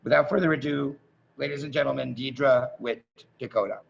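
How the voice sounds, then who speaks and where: Male host, creaky gravelly voice, effusive informal introductions, faint background noise, video call. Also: mid-range voice, fairly even intonation, brisk. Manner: gushing, informal. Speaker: host, acting teacher. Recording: muffled, noisy video-call audio.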